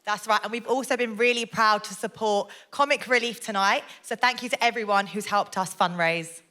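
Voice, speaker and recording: Bright upbeat female show host. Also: mid-range voice, normal speed. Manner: upbeat, enthusiastic. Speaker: radio presenter, show host. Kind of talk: hosting a live comedy show. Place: theatre stage with live audience.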